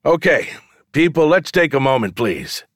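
A man performs a brash male showman from an audiobook, working a crowd for bets. He calls out loudly in a goading, declamatory way, with his pitch lifted. The language is coarse and the recording is dry. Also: animated, normal speed, no room sound, close to an everyday voice. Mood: challenging. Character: brash, foul-mouthed male showman hustling wagers from a crowd. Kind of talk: audiobook character dialogue.

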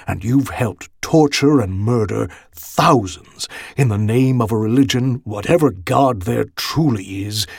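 Man voicing a menacing, gravelly ancient avenger. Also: mid-range voice, no echo, studio quality, exaggerated voice. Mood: scornful fury. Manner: menacing, emphatic, declaiming condemnations. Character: cold vengeful ancient executioner, an undead avenger with glowing eyes. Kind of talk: dramatic audiobook character dialogue.

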